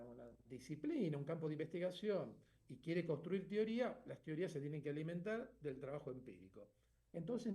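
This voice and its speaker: A middle-aged man speaks as an author explaining his own work, in a slightly high but warm, mellow voice. He reasons step by step, pausing on fillers and checking in with the listener through frequent tag questions.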